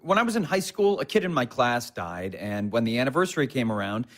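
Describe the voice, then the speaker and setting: An actor playing Andrew, an earnest aspiring writer and husband, talking defensively in an audio drama. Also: male voice, big pitch swings, a little fast, fairly bright tone. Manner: earnest, defensive, pitch swinging widely.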